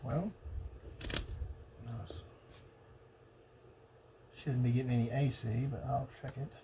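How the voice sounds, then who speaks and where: A man thinking aloud in a halting, casual way as he tests electronics at a workbench. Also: medium pitch, fairly even intonation, gravelly. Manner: muttering, halting, pondering to himself. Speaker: hobbyist demonstrating electronics testing. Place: indoor cluttered electronics workbench. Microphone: old phone used as camera above bench. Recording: noisy phone-camera workshop recording.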